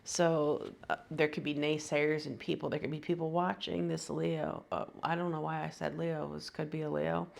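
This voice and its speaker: A woman's voice: deep voice, little pitch movement, moderate pace, creaky. A woman with a cold, sounding sniffly, giving a casual, rambling tarot reading full of slang and fillers. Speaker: tarot reader.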